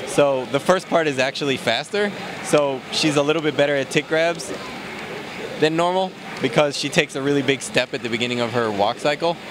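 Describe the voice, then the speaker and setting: A man casually walking through a game character's moves, explaining in a relaxed way with many fillers, recorded at a noisy event. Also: a little high, brisk. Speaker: game developer demoing a character. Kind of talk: casual gameplay walkthrough. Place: convention floor, noisy.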